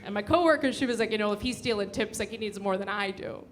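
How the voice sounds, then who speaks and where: A woman's voice: mid-range voice, quick pace. A young American woman performing stand-up comedy in a casual, slangy, profane way, acting out bits of dialogue. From grew up in San Diego, USA. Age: young adult. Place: live comedy show before an audience. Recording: live show with loud audience noise.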